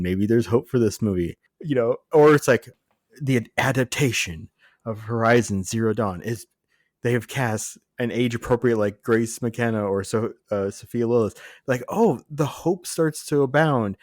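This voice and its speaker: A man's voice: mid-range voice, moderate pace, darkish tone, no echo, clean studio sound. A man co-hosting a podcast, chatting casually about movies in a rambling, sardonic way. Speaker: podcast co-host.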